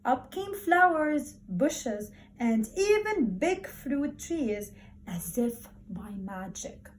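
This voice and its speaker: A woman primary school teacher speaking to young children in an encouraging, expressive way, repeating words for emphasis as she talks and reads aloud. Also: on the high side, takes it slowly, a little hiss or hum.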